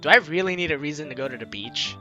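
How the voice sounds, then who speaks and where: A man's voice: on the high side, fast, highs cut off. A young man hosting a let's play, casually commenting and reading game dialogue aloud in a playful way. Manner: animated, playful. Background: game music.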